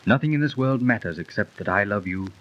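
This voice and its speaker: An actor playing a dashing, devoted young British army captain who scorns superstition, in the theatrical style of thirties radio drama. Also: male voice, on the low side, lively intonation, fast talker, lightly acted. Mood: ardent. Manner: ardent, theatrical, gallant. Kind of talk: 1930s radio drama acting. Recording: muffled old 1930s radio recording.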